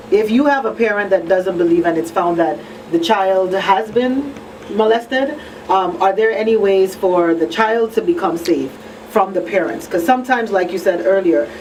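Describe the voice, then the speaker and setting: A woman's voice: mid-range voice, darkish tone. A woman hosting a live radio discussion, speaking conversationally with emphatic repetition and frequent check-ins with the listener. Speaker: radio talk show host. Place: radio talk show broadcast. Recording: distant mic with faint noise.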